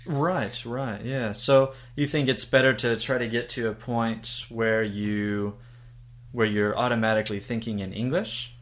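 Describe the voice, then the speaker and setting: A man's voice: medium pitch, fairly even intonation, measured pace, fairly bright tone. Chill guy interviewer, medium voice, lots of right right yeah. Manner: easygoing, affirming with short "right"s. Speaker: host, interviewer. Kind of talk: conversational interview.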